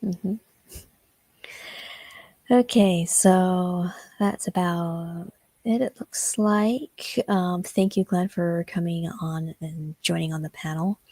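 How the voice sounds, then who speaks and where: Shy young woman with a husky low voice. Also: steady tone, slow, dark tone, no echo. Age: young adult. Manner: shy, hesitant with many ums. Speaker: host. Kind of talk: livestream interview. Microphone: video call.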